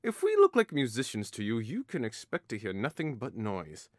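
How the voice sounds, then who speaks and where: A man's voice: mid-range voice, wildly swooping pitch, moderate pace, no echo, clean studio sound. A man playing a hot-headed, mocking young nobleman in an audio drama, with a theatrical, taunting delivery. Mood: scornful, provoking.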